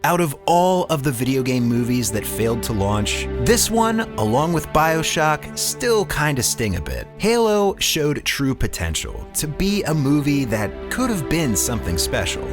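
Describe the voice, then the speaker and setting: A man reads scripted documentary narration in a mid-range voice. His intonation moves around in an animated way, and music plays underneath. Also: medium pitch, a little slow, hardly any pauses. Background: background music.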